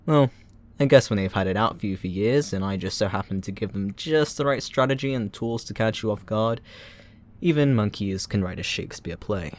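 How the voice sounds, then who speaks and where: A man's voice: lowish voice, normal speed, close to an everyday voice. A man playing a gloating, sarcastic supervillain who taunts a captive hero in a mocking, playful, theatrical way, in a roleplay audio drama. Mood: smug amusement.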